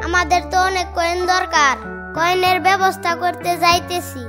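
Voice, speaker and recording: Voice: very high voice, steady tone, moderate pace, music in the background. A performer voicing a cheeky, stubborn little cartoon boy, bold and argumentative, with a Bangladeshi accent. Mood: insistent.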